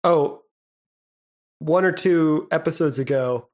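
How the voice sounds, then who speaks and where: A young man co-hosting a podcast in casual chat, relaxed and rambling, laughing often. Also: a little high, slow. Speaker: podcast co-host.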